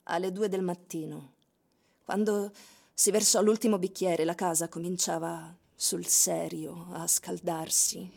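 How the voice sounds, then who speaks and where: A woman giving dramatic, literary radio narration with deliberate, suspenseful phrasing. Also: on the low side, fairly even intonation, creaky, a little mellow, slightly rough. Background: music.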